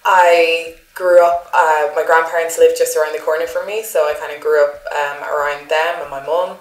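A woman introduces herself with easy humour. Her voice sits low. The studio has a noticeable ring. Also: little pitch movement, normal speed. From Northern Ireland, near Belfast. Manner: light, with "um" fillers. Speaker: interviewee. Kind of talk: casual self-introduction. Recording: roomy studio echo.

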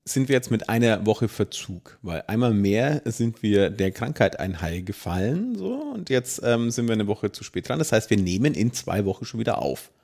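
A man from Franconia co-hosting a podcast from his home, telling a story in animated casual chat. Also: fairly low pitch, moderate pace, few pauses. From Franconia, Germany. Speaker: podcast co-host.

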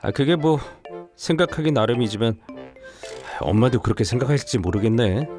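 Actor as weary husband, devoted son, mid-pitched male voice, mild reproach, story drama, music behind. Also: lively intonation, normal speed, darkish tone, close to an everyday voice. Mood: uneasy, reproachful. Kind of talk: dramatized story video acting.

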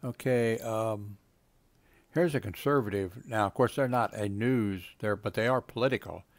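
A man's voice: on the low side, normal speed, no echo. A man in his seventies reading web pages aloud at his home computer and wandering off into casual asides full of fillers. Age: 70s. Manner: meandering, many fillers and trailing asides. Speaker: video blogger.

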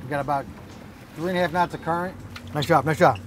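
A man's voice: a little high, fast, slight background noise. A middle-aged man chatting casually to camera on a fishing boat at sea, with a joking, teasing manner. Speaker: fishing vlog co-host. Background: music.

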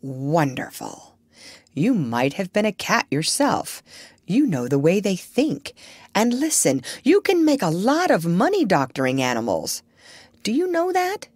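A woman's voice: mid-range voice, wildly swooping pitch, a little slow, darkish tone, no room sound. A woman voicing a chatty, unschooled working-class man who peddles meat in a children's storybook, folksy, persuasive and scheming. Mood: admiring. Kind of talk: children's storybook character reading.

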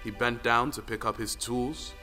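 A man narrates a folktale audio drama in a mid-pitched voice. Long reverb surrounds him, with music behind. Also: steady tone, normal speed, bright, forceful tone. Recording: long reverberant hall sound.

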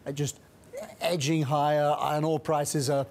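Middle-aged male guest, brisk and restart-prone, a touch high, lapel mic in a studio with faint hum. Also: little pitch movement, a little mellow. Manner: brisk, many restarts and hedging fillers. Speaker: guest strategist. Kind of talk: studio interview.

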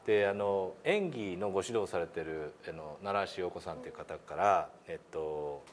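Male lesson instructor, deep and expressive, informal but courteous explanations, slight room noise. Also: normal speed. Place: meeting room indoors.

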